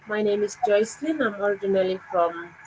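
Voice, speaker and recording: A woman's voice: mid-range voice, steady tone, fairly quick, darkish tone. A woman from Papua New Guinea hosting a live online chat over a video call, with hesitant fillers. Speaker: host. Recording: noisy video call audio.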